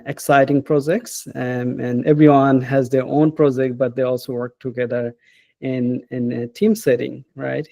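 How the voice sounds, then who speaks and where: Guy in his 30s, kinda creaky voice, mid pitch, lots of uh and right?. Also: warm tone, no room sound. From Bangladesh. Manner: unhurried, hesitant, with frequent "uh" and tag questions like "right?". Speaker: guest, assistant professor. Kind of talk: casual interview.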